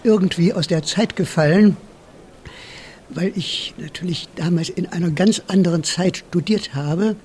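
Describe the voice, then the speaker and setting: A man's voice: high-pitched, a little slow, darkish tone, a little hiss or hum. An older man speaking reflectively and measuredly in a documentary interview.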